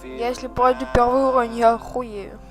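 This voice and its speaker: A man's voice: very high voice, level tone, brisk, over music. A man hosting a gaming channel, chatting casually and swearing as he reacts to the game. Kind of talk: casual let's-play commentary.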